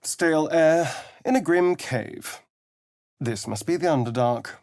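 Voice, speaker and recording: A man's voice: fairly high pitch, a little slow, a little mellow, no room sound, studio quality. A man voicing a suave, sardonic vampire rogue in a fantasy video game, with an arch, theatrical, dramatic delivery. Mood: measured, brooding.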